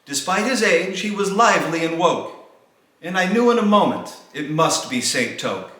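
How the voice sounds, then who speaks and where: A man recites a rhymed holiday poem like a storyteller, dramatic and sing-song. His bright voice swings widely in pitch. The recording is heavily reverberant. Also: very animated, moderate pace. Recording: very echoey, reverberant room sound.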